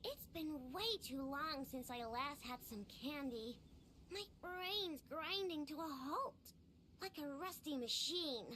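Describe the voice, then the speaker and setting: A woman's voice: high-pitched, slow pace, sharp tone, a little hiss or hum, heavily acted. A woman playing a young, playfully dramatic female video game character in a theatrical way. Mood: dramatic complaint.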